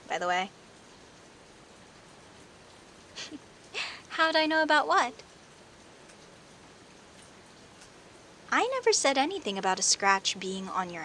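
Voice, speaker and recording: A woman's voice: fairly high pitch, bright, forceful tone, exaggerated voice. A woman playing a friendly, teasing werecat neighbor in an audio roleplay, talking conversationally and straight to the listener. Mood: sly, teasing. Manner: teasing, indignant, conversational with sighs and pauses. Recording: faint hiss and rain noise. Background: rain ambience.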